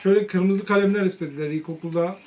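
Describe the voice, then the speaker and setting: Young woman vlogger, low voice, casual and teasing chat with a child, faint noise and clicks behind. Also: fast, a little mellow. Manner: teasing, quizzing. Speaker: family vlogger showing school supplies.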